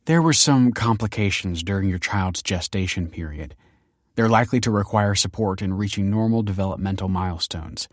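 A man's voice: low voice, normal speed, no room sound, clean studio sound, lightly acted. A man voicing an earnest, apologetic female ministry counsellor with a formal, reassuring way of talking, in an audiobook. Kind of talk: audiobook character acting.

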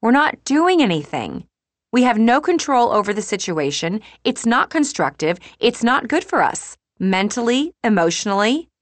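A woman's voice: a little high, very animated, moderate pace, no room sound, pitched above the performer's own voice. A woman voicing an earnest, fretful young woman in audiobook dialogue. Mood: fretful, insistent.

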